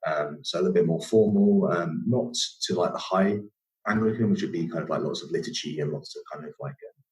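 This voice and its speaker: A man's voice: deep voice, a little fast, a little mellow, low-quality recording. A young man from England with a northern English accent, a podcast guest telling his story casually, rambling with many fillers and restarts.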